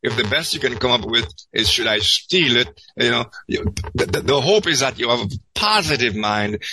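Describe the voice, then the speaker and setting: A man telling an anecdote in an interview, halting and repeating words as he searches, then landing them emphatically. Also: medium pitch, pushed and bright, a little hiss or hum, low-quality recording. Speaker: podcast guest.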